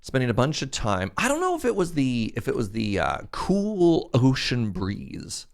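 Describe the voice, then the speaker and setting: A man's voice: mid-range voice, wildly swooping pitch, measured pace, vocal fry. A man streaming a game, chatting casually with viewers while congested, rambling and thanking them. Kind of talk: casual live-stream chat while gaming.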